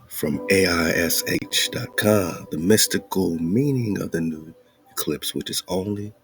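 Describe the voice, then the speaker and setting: Low, gravelly male radio host. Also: measured pace, fairly bright tone, soft background music. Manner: loose, preachy, laughs between points. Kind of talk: casual commentary over a read-aloud article.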